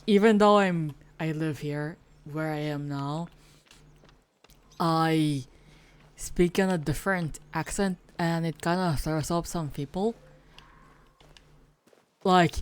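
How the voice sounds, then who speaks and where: A woman's voice: deep voice, clean tone. A Filipino woman whose first language is Tagalog, chatting casually while streaming a game, hesitant and full of fillers and restarts. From Philippines.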